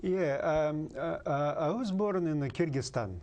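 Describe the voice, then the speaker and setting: A man in his fifties with a Russian accent, a native Kalmyk speaker, answering interview questions haltingly with many pauses and fillers. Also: on the high side, a little mellow. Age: 50s. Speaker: guest.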